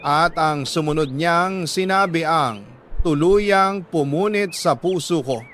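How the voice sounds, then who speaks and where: A Filipino man reading a listener's letter as a radio host, in solemn, sentimental dramatic narration with deliberate pauses. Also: fairly high pitch, a bit bright, a little hiss or hum. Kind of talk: dramatic scripted narration of a confessional love letter.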